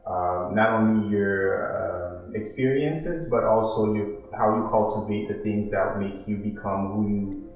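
A man in his thirties from Haiti, an artist answering interview questions slowly and thoughtfully, with many ums and restarts. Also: lowish voice, narrow, tinny audio. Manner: slow, philosophical, with frequent ums and restarts. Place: art studio with paintings, indoors. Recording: very echoey room with music behind.

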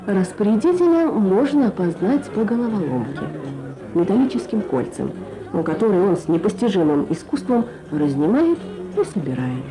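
A woman's voice: mid-range voice, sing-song, normal speed, crowd noise, rough recording. A woman presenter reading a scripted feature in the style of Soviet radio. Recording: archive radio broadcast with music.

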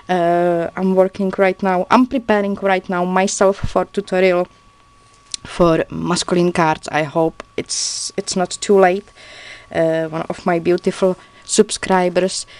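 A woman with a Czech accent talks through her handmade journals in casual, halting English, often breaking into laughter and teasing herself. Her voice sits in a middle range and sounds close and dry, with no room around it. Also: medium pitch, darkish tone, no echo. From Czech Republic. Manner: chatty, laughs often, self-deprecating, halting learner's English. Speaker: crafter showing a handmade journal. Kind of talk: casual crafting video chat. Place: indoors at a craft table, filmed from above.

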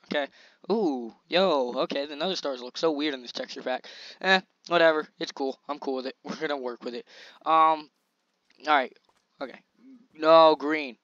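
A young man hosting his own gaming channel, commentating gameplay in a chatty, slangy, excitable way, his voice a little scratchy. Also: fairly high pitch, normal speed, no room sound, lo-fi sound. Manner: excitable, chatty, slangy hype while playing. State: slightly scratchy voice.

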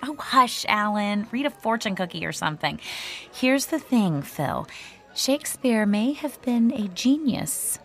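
A woman's voice: a little high, big pitch swings, measured pace, soft background music. A woman acting a playful, bossy young stage actress who banters and teases in an audio drama. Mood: teasing.

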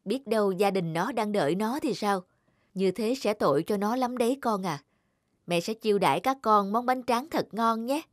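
A woman playing a strict but caring young mother in an animated fable, scolding yet affectionate. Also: medium pitch, moderate pace, dry recording, studio quality, lightly acted. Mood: gentle. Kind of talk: animated moral fable voice acting. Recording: music behind the voice.

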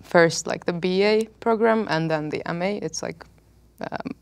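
A young woman from Hungary, a student on a panel, soft-spoken and hesitant, searching for words on a lapel mic. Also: lowish voice, unhurried, no echo. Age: young adult. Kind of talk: casual panel chat.

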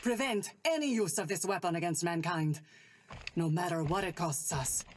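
An actress playing a calm, resolute woman super-soldier officer in a game, terse, grave and commanding. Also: fairly low pitch, normal speed, background noise, close to an everyday voice. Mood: somber, resolute. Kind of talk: military game audio log acting.

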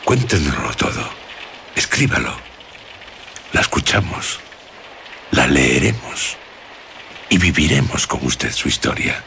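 An actor playing an ominous, insistent storyteller in a radio drama, speaking theatrically with suspenseful pauses. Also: male voice, a bass voice, big pitch swings, vocal fry, sharp tone, a little hiss or hum, exaggerated voice. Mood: insistent, coaxing. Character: ominous, insistent male storyteller coaxing out dark secrets.

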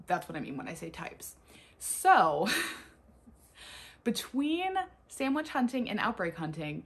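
A young woman vlogger chatting casually and expressively to camera in a husky voice. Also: on the low side. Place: small furnished room lined with bookshelves, indoors.

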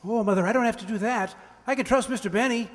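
Guy doing silly guileless son, really high voice, old radio comedy, echoey hall. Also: fast talker, a little hiss or hum. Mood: earnest, naive. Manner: earnest, guileless. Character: naive, obedient, silly young grown son. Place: amateur stage reading in a hall. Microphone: stand microphone. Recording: echoey stage in a large hall.

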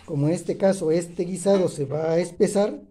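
A man explains home cooking casually, in a halting way with self-corrections. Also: high-pitched, mellow. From Oaxaca, Mexico. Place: indoors at a home kitchen table.

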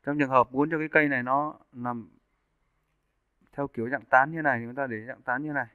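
A man giving a casual, step-by-step software tutorial as an animation instructor. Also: medium pitch, fairly even intonation, brisk, smooth, dry recording. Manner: informal step-by-step explaining. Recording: muffled narrowband phone-like audio.

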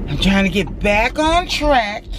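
Middle-aged woman vlogging while she drives. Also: medium pitch. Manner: chatty and relaxed, with a slow easy pace. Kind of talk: casual vlog chat. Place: inside a car, driving. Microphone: camera mounted on the dashboard. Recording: loud car noise behind the voice. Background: car and road noise.

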